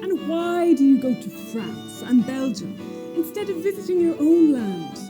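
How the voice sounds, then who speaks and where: A woman's voice: high voice, very animated, unhurried, over music. A woman playing a fervent young nationalist who presses a man with pointed questions. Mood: needling. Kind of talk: staged reading of a short story scene.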